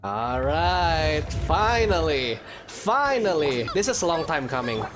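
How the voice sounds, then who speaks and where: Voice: medium pitch, big pitch swings, slow pace, a crowd in the background, background noise. A gamer giving casual, joking commentary during a playthrough. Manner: chuckles often, joking. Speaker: gamer, let's play host. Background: faint game music.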